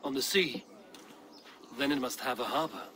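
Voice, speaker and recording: An actor playing a resolute Viking warrior leader in a video game cutscene, speaking firmly and plainly. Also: male voice, mid-range voice, normal speed, noisy background, lightly acted. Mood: resolute, planning. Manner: firm, decisive, plain-spoken.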